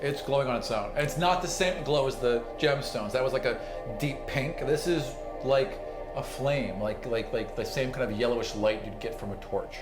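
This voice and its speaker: A man's voice: medium pitch, normal speed. A man improvising conversational narration as the game master of a tabletop role-playing podcast. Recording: roomy echo, music underneath. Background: background music.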